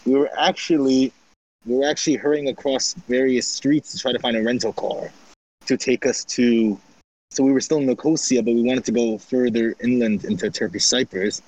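Armenian-background man, measured travel recap with restarts, mid-pitch. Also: steady tone, darkish tone, rough recording. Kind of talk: casual recounting of a trip.

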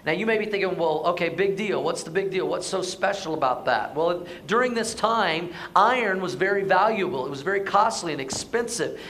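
A hoarse man preaching a conversational Sunday sermon, asking the congregation to answer back. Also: sits high, expressive, fairly quick, hardly any pauses, fairly bright tone, a little hiss or hum, poor audio. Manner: conversational, asks the congregation to respond. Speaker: pastor. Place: church sanctuary.

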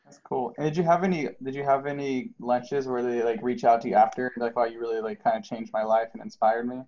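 A man co-hosting an interview, playful and teasing, asking quick questions. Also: mid-range voice, fairly even intonation, a little mellow, dull audio. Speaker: podcast co-host. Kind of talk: casual interview chat.

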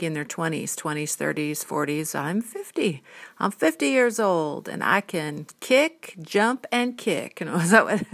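A woman's voice: deep voice, creaky, fairly bright tone. A woman in her fifties, an art model, talking earnestly in a rambling casual monologue, listing things one by one. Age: 50s.

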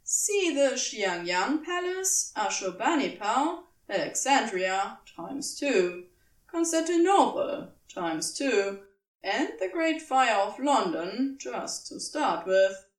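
A woman reading a scripted story aloud as an expressive narrator. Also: on the high side, takes it slowly, roomy sound, far from the mic. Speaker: podfic narrator.

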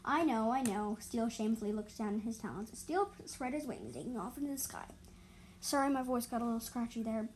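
High-voiced woman haltingly reading animal stories. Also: expressive, darkish tone, a little hiss or hum, poor audio. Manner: halting, stumbles and repeats words. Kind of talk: amateur narration of animal stories with asides.

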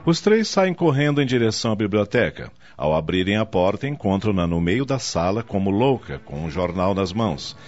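A man's voice: on the low side, very animated, moderate pace, hardly any pauses, a little mellow. A man narrating a radio soap in a dramatic, scripted style. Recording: dull lo-fi audio, music underneath.

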